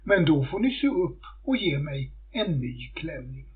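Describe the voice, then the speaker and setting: Man playing a bold servant girl, raised pitch. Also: very animated, breathy edge. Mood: bold, cheeky. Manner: pert, confident. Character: bold, unafraid servant girl, cheeky bargainer. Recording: muffled phone-like audio.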